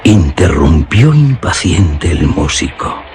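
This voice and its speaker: A man's voice: extremely low pitch, very animated, slow, a little mellow, a little hiss or hum. A man reading scripted literary narration as the narrator of a radio drama.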